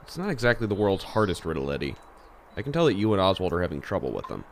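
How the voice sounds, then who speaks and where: A man acting a level-headed, popular teenage schoolboy and reluctant hero in an amateur audio drama, calm, dry and reasoning. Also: sits low, quick pace, a little hiss or hum, full character voice.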